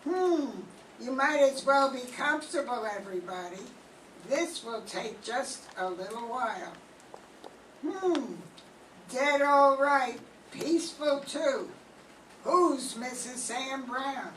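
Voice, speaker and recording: A methodical homicide sergeant from a vintage radio mystery, played by an older woman. The voice is high and slow, putting businesslike questions with care. It sounds distant in a roomy space. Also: animated, fairly bright tone, exaggerated voice. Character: police homicide sergeant, calm, methodical, probing. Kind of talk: 1940s radio mystery acting, read from script. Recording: roomy, distant mic, faint noise.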